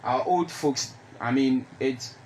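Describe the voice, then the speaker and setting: A young man with a Ghanaian accent speaking haltingly as a panelist in a radio discussion. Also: mid-range voice, darkish tone, a little hiss or hum. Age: young adult. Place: radio studio.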